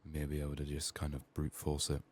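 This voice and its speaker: A soft-spoken man giving ASMR video game commentary, often slipping into whispers, his voice strained from being sick. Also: rumbling low, completely flat delivery, a little fast, slightly rough.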